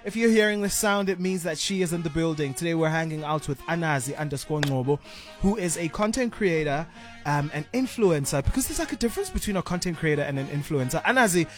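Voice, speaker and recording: Male radio host, high voice, upbeat, teasing interviewer. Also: animated, fast, faint music behind. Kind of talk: radio show presenting and interviewing. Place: radio studio.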